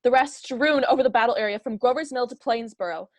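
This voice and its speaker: A woman's voice: on the high side, fast talker, lightly acted. A young woman acting a solemn news announcer formally reporting a catastrophe in a radio drama. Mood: grave. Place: plain indoor room.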